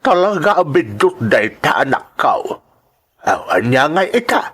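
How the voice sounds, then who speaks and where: Old father acted by a man, protective and sorrowful, sighing admonishments, lively intonation, audio drama. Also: on the high side, measured pace, slightly rough, exaggerated voice. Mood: weary, admonishing. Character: concerned old father, weary, lamenting, protective. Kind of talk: Ilocano audio drama acting.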